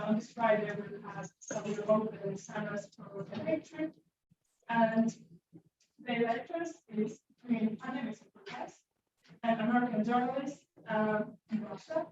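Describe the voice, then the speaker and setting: A woman host with a slightly high voice opens the evening with a welcome and an introduction of the guest. Her has phrasing. She sounds far from the mic in a very echoey space, over a dull, noisy recording. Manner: non-native phrasing, reads welcome. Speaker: event host. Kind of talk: event introduction.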